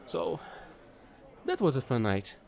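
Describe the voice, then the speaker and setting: A man playing a chatty, excitable fallen alien angel who trades in space, gushing and rambling in an audio roleplay. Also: mid-range voice, takes it slowly, full character voice. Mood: amused, chatty. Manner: bubbly, gushing, rambling, apologetic.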